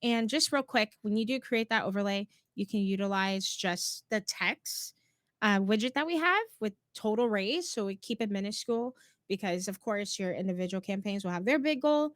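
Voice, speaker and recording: A woman's voice: mid-range voice, moderate pace, no echo. A woman co-hosting a live stream tutorial, upbeat and affirming as she walks viewers through each step, her voice a little worn. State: slightly losing her voice. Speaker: co-host, platform guide.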